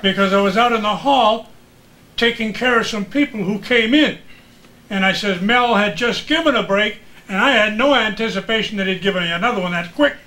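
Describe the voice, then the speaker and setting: An older man reminiscing casually as a former radio broadcaster, an animated storyteller who acts out the exchanges he remembers. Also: high voice, brisk, low-quality recording. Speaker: retired radio broadcaster recalling his career.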